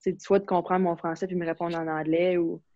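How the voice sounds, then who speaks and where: A relaxed young woman with a Quebec French accent who talks in a loose, chatty way, piling on fillers and restarts. Her delivery stays flat, with little rise and fall. The recording is dry, a bit dull and lo-fi. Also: on the low side, a little fast, no room sound. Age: young adult. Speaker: interview guest, university hockey player. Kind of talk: casual conversational interview. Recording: slightly dull, lo-fi sound.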